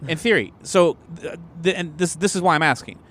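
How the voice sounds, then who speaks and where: A man's voice: fairly high pitch, brisk, a bit bright. A man chatting casually as a player in a tabletop role-playing game, working out plans. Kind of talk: casual game planning chat.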